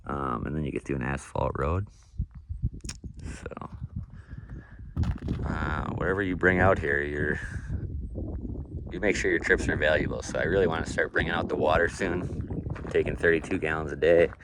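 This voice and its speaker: Very deep, nasal young man rambling. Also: steady tone, moderate pace, pauses often, background noise. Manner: rambling, laid-back, many fillers and restarts. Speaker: off-grid cabin vlogger. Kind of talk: casual talk to camera. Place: outdoors at an off-grid cabin property.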